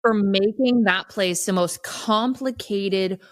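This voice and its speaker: A woman chatting casually as a podcast co-host, telling things in an animated way with wry exaggeration. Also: medium pitch, slow pace, no room sound.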